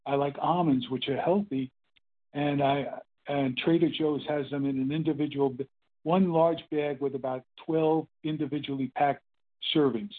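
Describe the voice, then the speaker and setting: An older man in his eighties sharing his story at a meeting, rambling and halting with many fillers and restarts. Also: on the high side, steady tone. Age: 80s. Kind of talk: casual spoken testimony. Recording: phone-line call.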